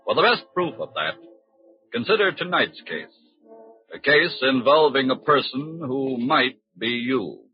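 A man narrating a 1940s radio crime drama in a grave, portentous manner with deliberate emphasis, on a vintage broadcast recording. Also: mid-range voice, very animated, muffled recording, faint music behind.

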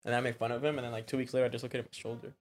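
A man's voice: mid-range voice, flat delivery, very fast. A man co-hosting a podcast, bantering casually and teasingly in slangy speech. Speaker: podcast co-host.

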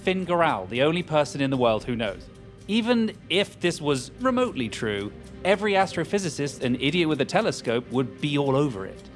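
A brash, cocky man in a sci-fi audio drama. His voice sits on the high side and swings widely, informal and sceptical, over faint music. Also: sing-song, a little fast. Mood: mocking disbelief. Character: brash, sceptical, foul-mouthed man held captive.

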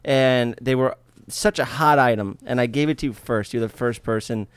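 A man's voice: mid-range voice, moderate pace, clean tone, no echo. A man hosting a casual podcast, stuffed up from a sinus infection, swearing and joking with his guests. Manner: profane, joking, loud when hyped. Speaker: podcast host. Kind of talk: casual chat.

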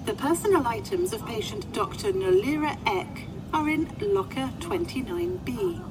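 A woman's voice: high voice, sing-song, moderate pace. A woman voicing a formal, literal-minded, unflappable medical computer in a science fiction audio drama. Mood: polite, helpful. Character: polite, literal-minded female medical ward computer assistant. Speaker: actor in a fiction podcast. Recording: clear background ambient noise.

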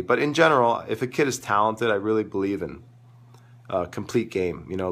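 A man's voice: fairly low pitch, a bit bright. A man with a crackly voice worn by a sore throat, talking casually and emphatically as a tennis coach answering viewers on a live stream. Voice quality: crackly, gravelly. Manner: emphatic, opinionated, informal. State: losing his voice, sore throat. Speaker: tennis coach hosting a live online show.

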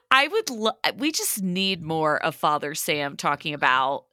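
A woman in her thirties co-hosting a comedic podcast, bubbly and crude. Her tone is bright, and her pitch moves in lively leaps. The sound is dry and close. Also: takes it slowly, no echo. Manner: bubbly, giggly, blunt and crude. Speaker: podcast co-host. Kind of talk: casual comedic recap chat.

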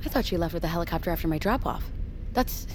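A woman's voice: fairly low pitch, lively intonation, quick pace, background noise, lightly acted. An actress playing a sardonic, indignant woman who takes charge in an audio drama. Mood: shocked, accusing. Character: sardonic, indignant woman taking charge against a corrupt boss.

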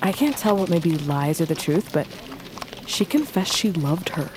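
An actress playing a fierce, embittered and defiant young huntress princess in a fantasy audio drama, speaking with intense, archaic phrasing. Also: female voice, fairly low pitch, expressive, moderate pace, background noise, lightly acted. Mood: wary.

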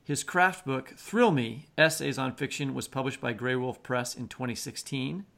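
A man's voice: medium pitch, moderate pace, creaky, fairly bright tone. A man co-hosting a podcast, giving introductions and chatting with guests. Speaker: podcast co-host. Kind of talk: scripted introductions and interview chat.

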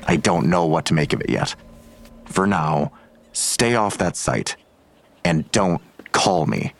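World-weary detective, low voice, curt, sardonic, grudging, audio drama. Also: male voice, expressive, takes it slowly, vocal fry, exaggerated voice. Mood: grudging, guarded. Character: gruff, weary, grudging male police detective, a father.

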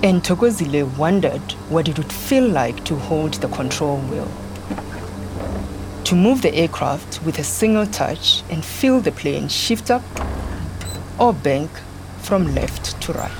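A woman narrating a scripted children's story in a measured, deliberate way, pausing between phrases. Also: sits low, animated, low-quality recording. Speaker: podcast narrator. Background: music.

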